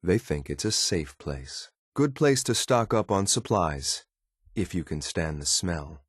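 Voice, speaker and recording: Deep-voiced, deadpan android soldier. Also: male voice, measured pace, breathy edge, no room sound, studio quality, full character voice. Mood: measured, matter-of-fact. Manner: formal, clipped, deadpan, deferential yet blunt. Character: cool, loyal, disapproving male android soldier. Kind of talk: video game companion voice lines.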